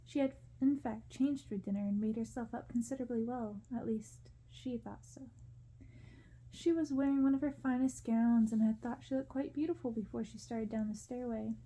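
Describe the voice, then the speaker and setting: Woman, ASMR storybook narration, hushed, unhurried, very mellow, breathy, slightly high voice. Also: low-quality recording. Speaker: ASMR creator reading a novel.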